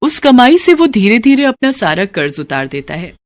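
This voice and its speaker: A woman's voice: on the high side, very animated, moderate pace, a little hiss or hum. A woman narrating a scripted moral bedtime story as a storyteller.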